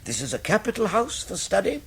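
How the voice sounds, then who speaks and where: An actor playing a bluff, genial English gentleman lawyer, hearty and courteous, in a British radio drama. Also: sits high, huge pitch swings, a little fast, creaky, breathy edge, heavily acted. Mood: jovial.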